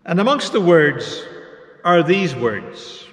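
A man preaching a sermon as pastor, measured and unhurried with pauses between phrases. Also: on the high side, big pitch swings, a bit bright. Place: church. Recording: echoey church hall reverb.

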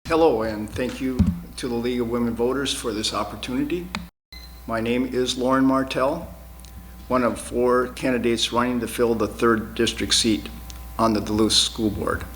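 A man delivering a formal, prepared candidate statement in a measured, scripted way. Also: medium pitch, steady tone, fairly bright tone, a little hiss or hum, low-quality recording. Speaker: school board candidate. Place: TV studio.

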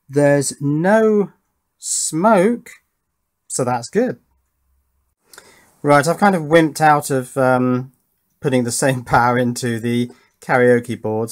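A middle-aged man informally narrating an electronics test at his workbench, thinking aloud in a self-deprecating way. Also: mid-range voice. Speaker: electronics hobbyist presenter.

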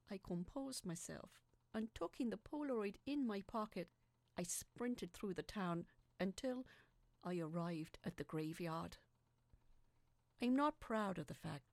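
A woman's voice: on the low side, gravelly, a little mellow, no room sound. Woman narrating a suspenseful story, close and dry. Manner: theatrical, deliberate, suspenseful storytelling. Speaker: narrator reading a fictional letter. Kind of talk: dramatic scripted first-person narration, audio drama.

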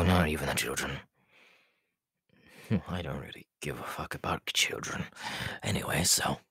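A man playing an inhuman, menacing, giggly killer clown in a horror roleplay, with a raspy, breathy voice that slips into whispers and a rambling, teasing manner. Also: deep voice, fairly bright tone, no room sound, studio quality, heavily acted. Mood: bitter, muttering. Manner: rambling, teasing, laughing at himself, erratic swoops. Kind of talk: in-character horror roleplay monologue.

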